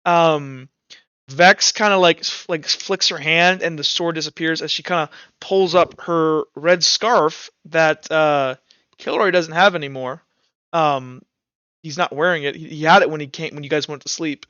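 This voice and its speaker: A man narrating a tabletop roleplaying game as game master, improvising in halting phrases with pauses mid-sentence. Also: on the high side, fairly even intonation, pushed and bright, smooth, dry recording, dull audio.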